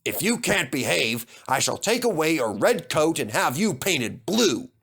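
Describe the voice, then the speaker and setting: A stern, authoritative railway controller acted by a man for a children's story. He talks formally and admonishingly, with a bright, forceful tone and plenty of movement in pitch. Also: big pitch swings, unhurried, vocal fry, slightly rough, full character voice.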